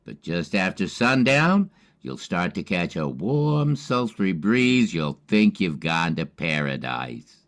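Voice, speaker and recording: A man's voice: low voice, sing-song, slow, a bit bright, exaggerated voice. A man playing a genial, wry old radio lookout in an audio drama, with a folksy, colorful way of talking. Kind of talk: amateur audio drama acting.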